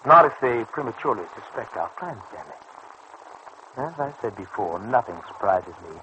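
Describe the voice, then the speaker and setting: Casting: silky, double-crossing crime boss, old radio drama, sharp forward tone, swooping intonation, faint noise. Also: male voice, on the low side, big pitch swings, moderate pace, low-quality recording. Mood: persuasive. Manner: suave, formal, condescending politeness. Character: smooth, scheming male bootlegging boss, coolly double-crossing. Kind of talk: old radio serial acting.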